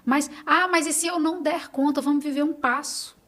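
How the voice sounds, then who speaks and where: A woman with a Brazilian accent and a fairly high voice talks as a podcast guest. She is earnest and emphatic, urging the listener on in a conversational, personal way. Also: steady tone, moderate pace, pushed and bright. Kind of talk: conversational interview, personal testimony.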